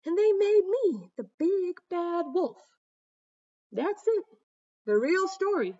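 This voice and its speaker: Woman as a sly wolf telling tales, lively and high. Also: lo-fi sound, full character voice. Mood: indignant. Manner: dramatic, drawn-out storytelling with mock-innocent asides. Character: aggrieved wolf narrator, self-justifying, sly, folksy storyteller.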